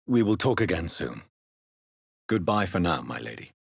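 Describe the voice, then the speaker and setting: A man playing an earnest, courteous English gentleman doctor in a video game, speaking formally and asking measured questions. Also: low-pitched, big pitch swings, gravelly, breathy edge, dry recording, studio quality, heavily acted. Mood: courteous, probing. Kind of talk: video game character dialogue.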